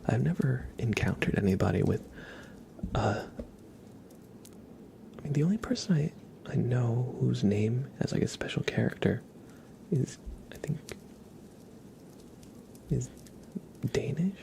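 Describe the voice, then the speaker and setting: A man whispering softly and breathily close to the mic as he hosts an ASMR livestream, chatting casually and gently repeating words. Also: mid-range voice, expressive, gravelly, slight background noise. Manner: soft, repeats words and names slowly.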